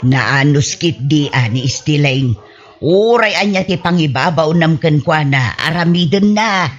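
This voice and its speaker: A woman with an Ilocano Filipino accent playing a chatty, helpful village wife who grumbles good-naturedly, in a husky-voiced radio comedy drama. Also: sits low, lively intonation, unhurried, lo-fi sound. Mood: helpful, obliging.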